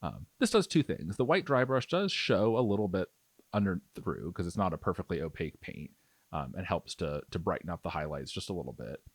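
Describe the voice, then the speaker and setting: A man's voice: low-pitched, very animated, brisk, slightly rough, dry recording. A man narrating a hands-on hobby tutorial in a casual, explanatory, easygoing way. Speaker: miniature painting tutorial host. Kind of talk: casual instructional narration over demonstration.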